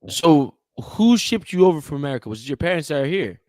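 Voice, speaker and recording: Male co-host for casual banter, high-pitched voice in a dry space, teasing and disbelieving in his questioning. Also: very animated, fast, darkish tone, dry recording. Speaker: podcast co-host.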